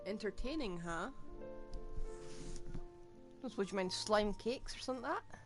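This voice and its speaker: A woman streaming a video game, making relaxed, quiet comments to herself as she plays. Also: mid-range voice, normal speed. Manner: relaxed, muttering asides to herself. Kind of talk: casual gameplay commentary. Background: game music.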